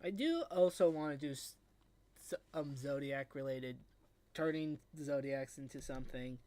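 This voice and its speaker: A man on a voice call chatting casually, thinking aloud in halting phrases. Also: medium pitch, lively intonation, warm tone, no echo. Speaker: guest on voice call. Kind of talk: casual chat while drawing.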